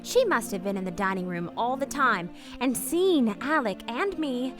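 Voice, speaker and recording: High-voiced, anguished married woman. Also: very animated, normal speed, over music. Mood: wistful confession. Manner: genteel, emotional, confessional. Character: anguished married woman, guilt-ridden and flustered. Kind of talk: radio play acting and narration.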